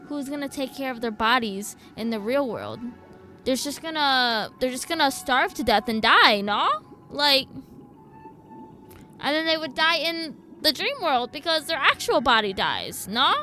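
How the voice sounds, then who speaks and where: A woman's voice: a little high, pushed and bright. A woman streaming a game, giving casual, informal reaction commentary as she thinks aloud in a skeptical way.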